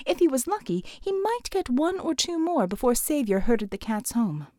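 A woman's voice: high-pitched, animated, moderate pace, mellow, no echo, studio quality. A woman reading an audiobook, narrating fiction expressively from a script.

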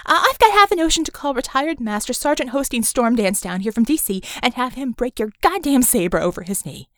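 A woman's voice: a little high, sing-song, brisk, fairly bright tone, dry recording, clean studio sound. A woman voicing a furious, foul-mouthed young woman in an audiobook, delivering an emphatic scolding tirade. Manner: emphatic, cursing tirade peppered with foreign swear words.

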